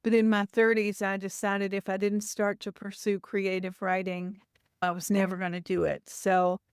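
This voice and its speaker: A woman in her sixties from Louisville in the United States, giving thoughtful, reflective interview answers and often pausing to rephrase. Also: mid-range voice, level tone, smooth, no echo, studio quality. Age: 60s. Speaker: author, interview guest.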